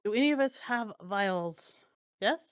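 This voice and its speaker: A woman's voice: medium pitch, animated, a little slow, clear, resonant tone, no echo, clean studio sound. A woman chatting casually as a player in a tabletop game. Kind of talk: casual chat.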